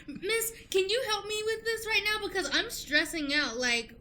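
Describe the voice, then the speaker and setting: A woman playing a stressed college-student intern in an improvised radio play, halting, informal and a bit defensive. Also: extremely high pitch, expressive, sharp tone, heavily acted.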